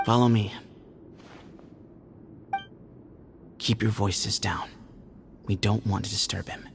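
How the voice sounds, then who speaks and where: An actor playing a solemn, pragmatic temple heir in a video game, speaking in a formal, measured way. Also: male voice, fairly low pitch, fairly even intonation, vocal fry, noisy background. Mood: guarded.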